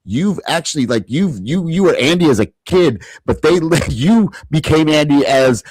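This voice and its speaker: A man's voice: a little high, animated, normal speed, a little mellow, husky, no echo, poor audio. Emphatic co-host man, dry sound. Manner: emphatic, repetitive restarts. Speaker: podcast co-host. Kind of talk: casual interview chat.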